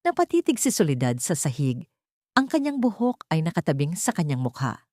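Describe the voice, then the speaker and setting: Female narrator for dramatic fiction audio story, lower register, very dry recording. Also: huge pitch swings, a little fast, a little mellow, no echo.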